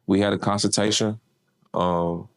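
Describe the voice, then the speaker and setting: A man's voice: fairly low pitch, fairly even intonation, a little slow. A man from Memphis, an artist manager chatting casually in an interview, laid-back and slangy with lots of fillers.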